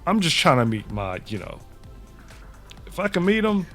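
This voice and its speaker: A man hosting a casual interview, talking in an excitable, slangy way. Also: a little high, normal speed, faint music behind. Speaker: host.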